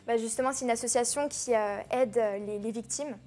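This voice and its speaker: A woman's voice: on the high side, brisk, a crowd in the background. A teenage girl being interviewed about her songs, hesitant, with many fillers and restarts. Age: teens. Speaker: guest singer. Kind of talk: casual interview.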